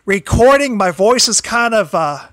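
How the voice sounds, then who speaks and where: Man, very high voice, bright and tense tone, tired strained throat, stumbling repeats, built-in mic, dry sound. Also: animated, unhurried, no echo. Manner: apologetic, stumbles and repeats words, pushes voice louder. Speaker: livestream host. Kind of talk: casual wrestling commentary livestream. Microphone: built-in device mic, no external microphone.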